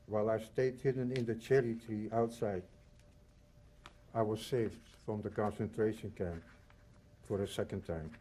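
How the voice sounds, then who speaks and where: A man in his eighties from the Netherlands and Belgium, reading a prepared personal testimony in measured, solemn short phrases. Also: fairly low pitch, steady tone, warm tone, slight background noise. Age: 80s. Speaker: Holocaust survivor giving testimony.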